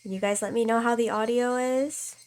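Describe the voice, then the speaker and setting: A woman streamer with a stuffy nose and a slight cough, reading a visual novel aloud in a calm, even way, with casual asides to her chat. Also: a little high, brisk.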